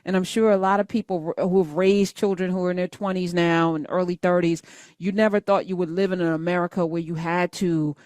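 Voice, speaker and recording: A woman's voice: on the low side, fairly even intonation, a little fast, a little mellow, no echo, clean studio sound. A middle-aged woman hosting a live call-in talk show, speaking conversationally and thinking aloud as she goes.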